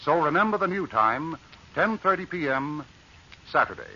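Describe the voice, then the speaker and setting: A man's voice: fairly high pitch, very animated, normal speed, bright, forceful tone, slight background noise. A man announcing a 1940s radio show in a formal, declamatory scripted style, on a muffled old recording.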